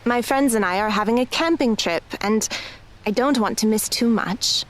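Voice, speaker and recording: A woman playing an anxious, self-doubting teenage girl in an audio drama, halting and nervous. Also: a little high, natural voice, rough recording. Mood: guarded.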